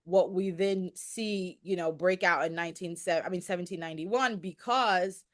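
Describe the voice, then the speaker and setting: A woman explaining history conversationally as a podcast guest, halting and circling back to rephrase her points. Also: on the low side.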